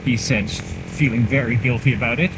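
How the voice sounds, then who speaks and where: A man talks in a loose, chatty way while riding a motorcycle along a country road, teasing himself now and then. His voice sits in the middle range for a man. A helmet mic picks him up with steady engine and wind noise underneath. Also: mid-range voice, normal speed. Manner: chatty, rambling, self-mocking. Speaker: motorcycle vlogger. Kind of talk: casual running commentary while riding.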